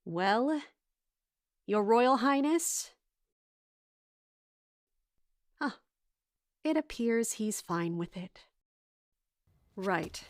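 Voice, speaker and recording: A woman's voice: fairly high pitch, animated, very slow, long pauses, no room sound, clean studio sound. An actress playing a composed, formal and stern female captain with an authoritative manner in an audio drama. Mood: dry, unbending.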